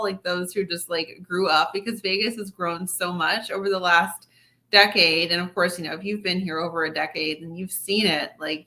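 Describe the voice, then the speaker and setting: A woman's voice: fairly low pitch, pushed and bright. A woman in her thirties hosting a podcast interview, chatty and peppering her speech with "like". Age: 30s. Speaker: podcast host.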